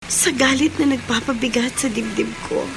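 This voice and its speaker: An actress playing a forgiving, compassionate young wife in a sentimental radio drama, speaking gently and earnestly. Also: female voice, fairly high pitch, steady tone, moderate pace, very noisy, close to an everyday voice. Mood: tender, emotional.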